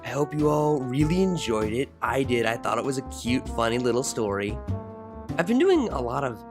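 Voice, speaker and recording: A woman's voice: extremely low pitch, big pitch swings, moderate pace, music in the background. A young adult woman who narrates fan fiction and chats casually as host of her channel.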